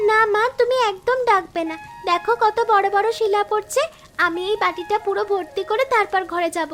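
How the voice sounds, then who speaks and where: Little village girl, tiny very high voice, excitable, stubborn, cartoon, background music. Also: fairly quick. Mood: excited, stubborn. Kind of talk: animated family drama voice acting.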